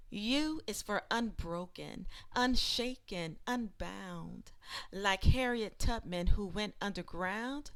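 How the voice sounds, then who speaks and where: A woman reading aloud like an educator sharing a children's book, with deliberate and expressive phrasing. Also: medium pitch.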